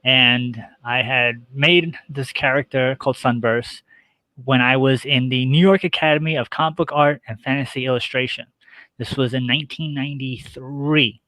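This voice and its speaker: A middle-aged man talking casually as an interview guest, explaining details in a halting, list-like way. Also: medium pitch, fairly even intonation, sharp tone, no room sound, low-quality recording. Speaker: guest, radio show host.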